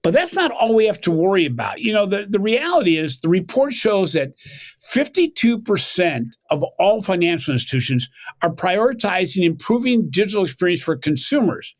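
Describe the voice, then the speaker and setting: A man hosting a banking podcast, reading a scripted introduction with emphatic, animated delivery and then asking a guest questions. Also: sits high, moderate pace. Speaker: podcast host.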